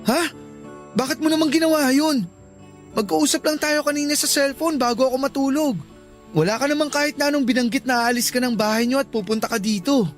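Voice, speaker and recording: A worried young Filipino boyfriend in a radio love drama. His voice is very high for a man, questioning and soft-hearted, with faint music behind it. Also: fairly quick. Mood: concerned. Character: concerned, puzzled young working boyfriend.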